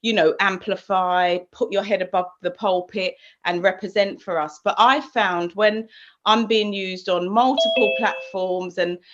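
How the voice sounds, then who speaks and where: A woman on a panel thinks aloud as she talks, softening her points with "kind of" and "you know". Her voice comes through a video call, a bit dull and muffled. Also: medium pitch, fairly even intonation, a little slow. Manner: thoughtful, with "kind of" hedging. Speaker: panel participant. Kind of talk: panel discussion.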